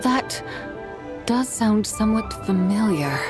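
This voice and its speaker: A proud, ancient immortal from a video game. She speaks slowly at a medium pitch, imperious and solemn, with archaic grandeur. Music is clearly audible behind her. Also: lively intonation. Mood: pensive. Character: proud, lofty ancient female adeptus spirit.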